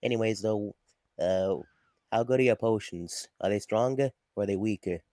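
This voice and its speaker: A man voicing a polite, simple young adventurer in a tabletop game, speaking plainly and haltingly. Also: fairly low pitch, warm tone, no room sound, studio quality, close to an everyday voice.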